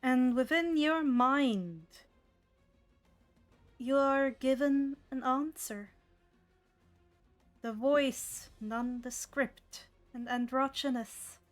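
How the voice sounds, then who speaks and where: A woman's voice: sits high, extremely slow, pauses often. A woman narrating a tabletop game as game master, telling the story dramatically in the second person. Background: background music.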